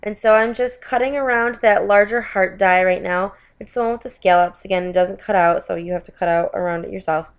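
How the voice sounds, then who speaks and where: A woman's voice: medium pitch, fairly quick, hardly any pauses, clean tone. Chatty woman with a stuffy cold voice. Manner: chatty, with frequent self-corrections and "you guys". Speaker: craft video host. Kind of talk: voiceover narration of a card-making tutorial.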